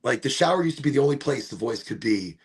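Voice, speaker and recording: A man with a deep, gravelly voice, rambling through a casual monologue as host of a smoke session and wandering from one topic to the next. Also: expressive, quick pace. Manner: rambling, wanders off topic, breaks into off-key singing.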